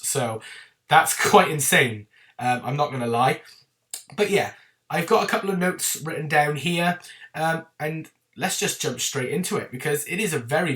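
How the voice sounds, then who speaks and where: A man hosting his own film review channel, talking casually to camera while sounding bunged up and a little rough from a winter cold, chatty and full of ums. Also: mid-range voice, fairly bright tone, recorded from a distance. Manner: chatty and rambling, with many ums and apologies. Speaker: YouTube channel host.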